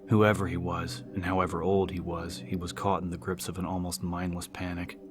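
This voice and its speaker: A man's voice: low-pitched, flat delivery, brisk. A man giving a dramatic scripted narration as an audiobook narrator.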